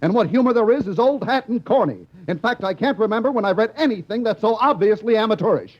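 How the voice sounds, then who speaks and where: A man's voice: high-pitched, expressive, fast talker. A man in a 1950s radio comedy speaking with blunt, matter-of-fact criticism. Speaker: director character. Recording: dull 1950s radio recording.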